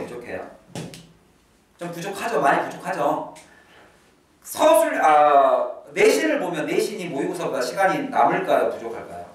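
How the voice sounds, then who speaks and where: A middle-aged male English teacher giving an exam-prep lecture to high school students, emphatic and lively, dropping to low asides. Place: academy classroom. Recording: echoey classroom from a distance.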